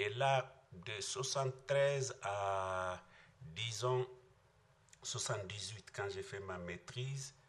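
Older malian guy on a panel, really deep voice, halting and thoughtful. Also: fairly bright tone. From Mali. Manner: thoughtful, halting, with frequent restarts. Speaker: panel speaker. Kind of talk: reflective discussion.

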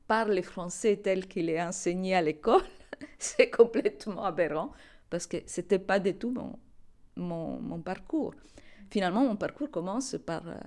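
A middle-aged woman, a researcher, telling her own path thoughtfully with pauses and fillers. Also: mid-range voice, a little mellow. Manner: thoughtful, hesitant, with fillers and laughs. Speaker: interviewee, researcher. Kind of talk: reflective personal account.

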